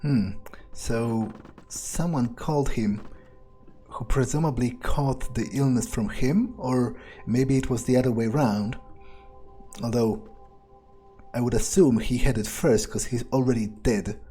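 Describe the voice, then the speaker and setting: A man's voice: mid-range voice, measured pace, dark tone, faint music behind, close to an everyday voice. A man playing a detached, blunt vampire investigator, musing hesitantly in character during a video game playthrough. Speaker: game player narrating in character. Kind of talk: improvised in-character playthrough, thinking aloud.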